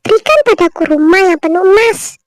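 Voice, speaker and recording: Woman voicing a high-pitched, sulky greedy daughter. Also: brisk, no room sound, studio quality. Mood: sulky demanding. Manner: whining, demanding. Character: greedy, sulky young second daughter craving gold. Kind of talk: bedtime fairy-tale storytelling.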